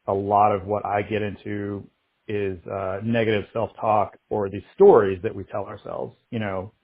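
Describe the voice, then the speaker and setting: A man in his late thirties with a low, husky voice, talking slowly and candidly in an interview. He stops and restarts his thoughts as he goes. The sound is thin and phone-like. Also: little pitch movement. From United States. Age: 30s. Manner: halting, reflective, many restarts and fillers. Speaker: interview guest, artist.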